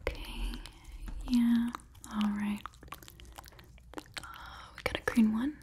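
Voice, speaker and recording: A woman performing an ASMR roleplay in a soft, gentle whisper, often repeating her words. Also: on the high side, little pitch movement, takes it slowly, background noise.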